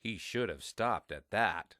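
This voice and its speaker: A man reading a children's story aloud in a deliberate, expressive way. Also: lowish voice.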